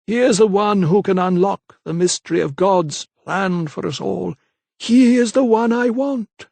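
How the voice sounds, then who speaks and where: A man voicing a zealous, menacing old vicar who speaks in a slow, unctuous, preaching manner, in a dry, close audiobook reading. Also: high voice, creaky, a little mellow, dry recording, voice pushed up, heavily acted. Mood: sinister.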